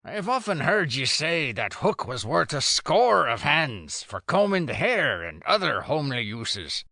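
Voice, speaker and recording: A dim, loyal pirate boatswain, as a woman reads him aloud in a storybook. He talks slowly and gravelly, with a bright, close, dry sound. His voice rises and falls a lot. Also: deep voice, big pitch swings, no room sound, voice pushed down, full character voice. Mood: plodding. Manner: slow, plodding, simple-minded.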